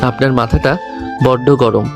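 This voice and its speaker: A man's voice: medium pitch, fairly even intonation, moderate pace, music in the background, lightly acted. A man playing a cheeky young servant husband in a romantic audio story, teasing and mock-deferential.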